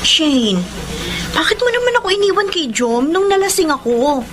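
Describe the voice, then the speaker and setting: High-voiced, discontented Filipino wife. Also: female voice, moderate pace, exaggerated voice. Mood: reproachful. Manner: complaining, expressive. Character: discontented, nagging working wife, irritated yet conflicted. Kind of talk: Filipino radio drama acting. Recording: clearly noisy radio drama audio.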